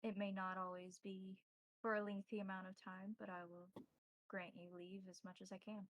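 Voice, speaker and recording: A woman playing a courteous, cryptic vampire priest and advisor, speaking formally in measured, halting phrases in a tabletop roleplaying game. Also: mid-range voice, little pitch movement, dry recording, natural voice.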